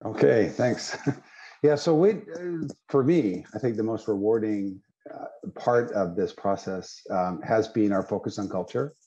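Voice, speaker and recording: Mellow, deep-voiced older man. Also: measured pace. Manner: hesitant, stammering restarts. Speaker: panelist. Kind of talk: video-call panel discussion. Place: office. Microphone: video call. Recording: dull video-call audio.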